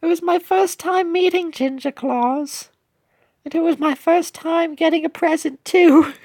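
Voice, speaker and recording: A little cartoon cookie child with an extremely high, sweet voice. The delivery is slow, gushing and earnest, as in a storybook game tale, and sounds close and dry. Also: female voice, fairly even intonation, a little mellow, no echo. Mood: earnest, wistful. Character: sweet excitable young cookie child, poor and lonely.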